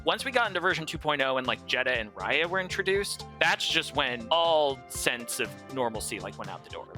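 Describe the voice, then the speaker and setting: A man's voice: mid-range voice, very animated, brisk, over music. A man co-hosting a podcast, laid-back and musing in casual chat. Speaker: podcast co-host.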